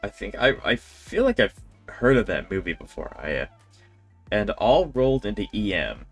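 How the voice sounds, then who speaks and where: A man's voice: fairly low pitch, sing-song, normal speed, vocal fry. A man chatting casually as a game streamer, rambling in a conversational way. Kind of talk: casual livestream chat. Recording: game music behind voice. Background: video game music.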